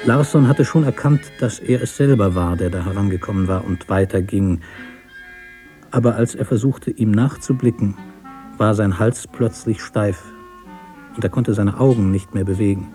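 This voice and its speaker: Male narrator for literary radio prose. Dark, low, deliberate, with instrumental music underneath.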